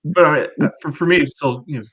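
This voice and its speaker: A man co-hosting a podcast, chatting casually about sport with a hedging, measured way of making points. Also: fairly high pitch, gravelly, a bit bright, husky, muffled recording. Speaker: podcast co-host.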